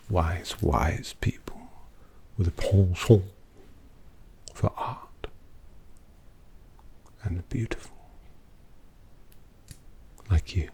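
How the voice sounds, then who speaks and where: Low gravelly whisper, slow, languid possessive vampire artist, played by a man, teasing ASMR roleplay. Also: warm tone, a little hiss or hum, full character voice. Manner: slow, teasing, intimate address to listener.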